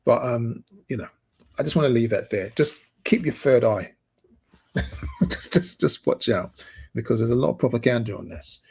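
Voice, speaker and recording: A middle-aged man with a British accent talks through community news in an earnest, halting way. He pauses often, restarts his sentences and leans on fillers. His voice is gravelly and very husky, with a rough edge throughout. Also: mid-range voice, darkish tone. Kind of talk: spoken commentary.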